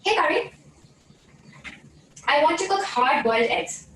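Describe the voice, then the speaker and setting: High-pitched woman in a very echoey room. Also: lively intonation, a little slow, fairly bright tone. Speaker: user demonstrating a voice assistant. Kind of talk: scripted voice commands. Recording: very echoey, distant, faintly noisy room.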